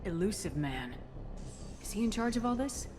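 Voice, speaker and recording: A woman's voice: medium pitch, very animated, normal speed, noisy background, natural voice. A woman playing a resolute, principled military commander in a video game, speaking in a firm, no-nonsense, questioning manner. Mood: firm, wary. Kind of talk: video game cutscene acting.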